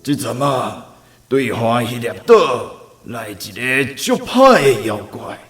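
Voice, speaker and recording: A theatrical Taiwanese film narrator in a radio play. He speaks slowly with big swings of pitch, in a roomy echo over faint music. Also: animated, a bit bright. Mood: ominous, dramatic. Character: theatrical film narrator telling a children's monster tale. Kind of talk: old-style live film narration in radio drama.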